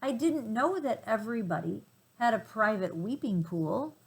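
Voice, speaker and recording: A polite, quick-witted young man talking his way out of trouble, as a woman voices him in a storybook reading. He sounds eager and apologetic. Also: fairly high pitch, animated, creaky, rough recording.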